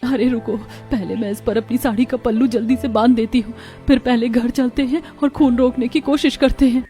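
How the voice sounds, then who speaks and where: A woman's voice: on the high side, steady tone, a little fast, warm tone, soft background music, close to an everyday voice. A woman voicing a dutiful, worried village wife and mother, earnest and pleading, in a moral story. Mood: anxious.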